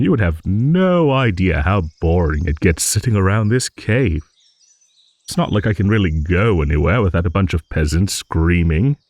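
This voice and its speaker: A man voicing an ancient, genial dragon in an improvised fantasy audio roleplay, rambling and musing like a refined, hesitant old gentleman. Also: low-pitched, no room sound, heavily acted.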